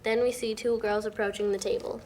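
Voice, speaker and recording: A woman's voice: a little high, level tone, brisk, a little mellow. A young woman reading screenplay directions aloud as the narrator of a table read. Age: young adult. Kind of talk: scripted narration. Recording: noisy room recording.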